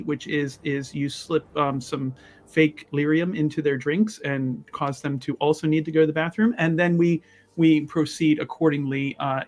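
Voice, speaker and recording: A man playing a tabletop roleplaying game, improvising in character with enthusiasm. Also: a little high. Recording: background music.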